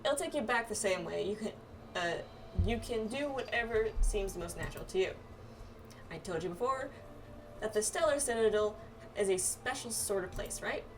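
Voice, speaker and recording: A woman's voice: medium pitch, expressive, normal speed, slight background noise. A woman doing casual, reactive video game playthrough commentary and reading dialogue aloud. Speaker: gamer playing through a video game. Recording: distant mic under clear background music. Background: music.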